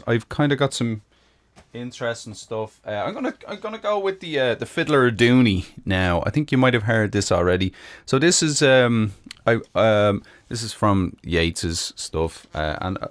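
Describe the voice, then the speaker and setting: A man's voice: mid-range voice, big pitch swings, normal speed, dry recording. A man with an Irish accent hosting a live music stream, chatting casually with many ums and uhs. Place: home room in Michigan. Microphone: close microphone.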